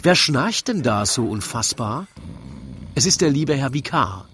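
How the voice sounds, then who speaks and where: A man reading a comic rhymed narration in a wry, theatrical, measured way. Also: medium pitch. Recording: lively crowd chatter behind. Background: crowd murmur.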